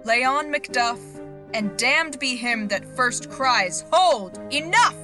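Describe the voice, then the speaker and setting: A woman's voice: sits high, animated, slow pace, over music. A woman playing an earnest understudy actress in an audio drama, sincere and theatrical in delivery. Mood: defiant. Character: earnest understudy actress rehearsing Shakespearean tragedy.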